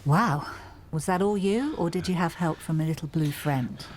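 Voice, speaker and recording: A woman's voice: deep voice, moderate pace, a little hiss or hum, close to an everyday voice. An actress playing a teasing middle-aged pub landlady in a radio soap.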